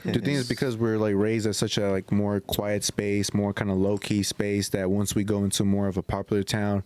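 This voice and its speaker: A man's voice: fairly low pitch, flat delivery, moderate pace, darkish tone, clear, resonant tone, no room sound. A man co-hosting a podcast, talking casually in slang and murmuring agreement often. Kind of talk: casual chat.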